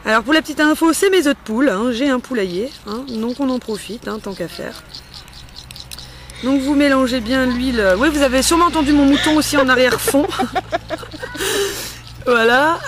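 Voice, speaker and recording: A woman's voice: sits high, normal speed, pauses often, fairly bright tone, a little hiss or hum. A woman hosting a casual step-by-step tutorial in a chatty, playful and informal way. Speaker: host of a homemade beauty-care tutorial. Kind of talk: casual spoken step-by-step demonstration.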